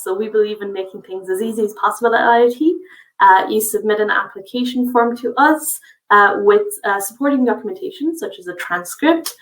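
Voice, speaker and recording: A woman's voice: mid-range voice, reverberant, far from the mic, rough recording. A woman from a college's international office giving a friendly, informative webinar presentation, with frequent fillers.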